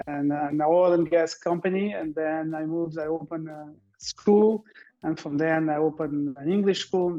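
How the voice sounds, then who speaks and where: A man's voice: fairly high pitch, mellow. A middle-aged man from Portugal, a guest in a casual interview, speaking hesitantly with many fillers and restarts. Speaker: interview guest, car owner. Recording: dull lo-fi audio with faint music.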